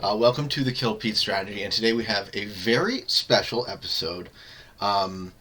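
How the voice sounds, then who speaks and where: Man, podcast host, casual chat, halting with fillers, lowish pitch, bright forceful tone. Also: slightly rough. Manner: halting, many filler sounds.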